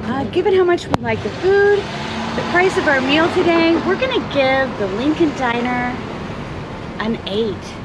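A woman's voice: high-pitched, animated, moderate pace. Chatty woman vlogging on a noisy street. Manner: chatty, enthusiastic, addresses viewers directly. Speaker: food vlogger. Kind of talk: casual food review. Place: diner booth, then a city sidewalk outdoors. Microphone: handheld camera at arm's length. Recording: loud street traffic noise outdoors.

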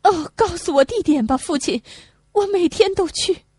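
A woman's voice: very high voice, animated, moderate pace, darkish tone, no room sound, clean studio sound, voice pushed up. A woman voicing a devoted, polite young wife and mother in an audiobook. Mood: earnest, polite.

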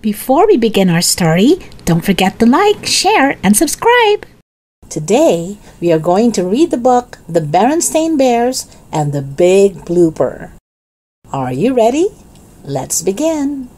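A woman narrating a children's storybook as a read-aloud host, with expressive, deliberate delivery for young listeners. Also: medium pitch.

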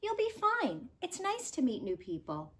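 Storybook mother, reassuring and patient, animated high pitch , read by a woman. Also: unhurried, heavily acted. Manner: gentle, encouraging.

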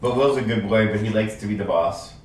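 A man's voice: lowish voice, a little fast. A chatty, teasing man in his fifties bantering casually on a livestream, a little away from the phone. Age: 50s. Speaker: livestream co-host. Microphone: phone, a little distant. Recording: roomy, distant phone audio with noise.

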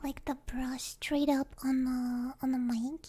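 High, soft whispery young woman. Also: flat delivery, takes it slowly. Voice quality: soft, breathy, often whispering. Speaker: ASMR streamer. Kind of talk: ASMR, casual chat with viewers. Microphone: close stereo ASMR microphone.

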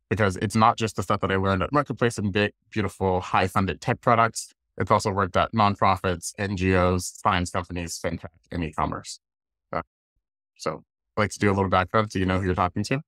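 American male speaker, thirties, lower register, conversational presenter, very dry recording. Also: fairly even intonation, normal speed, a bit bright, dry recording. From Washington, D.C., United States. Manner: casual, conversational. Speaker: guest speaker, design leader. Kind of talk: informal online presentation. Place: indoors, plain room on a video call.

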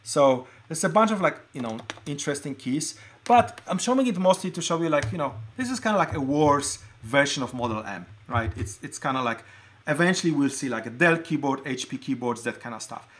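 A middle-aged man from Poland hosting a casual show-and-tell livestream, talking in a halting, conversational way and often interrupting himself. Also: on the high side, animated, fairly bright tone. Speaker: livestream host showing collected keyboards. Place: indoor room at a desk.

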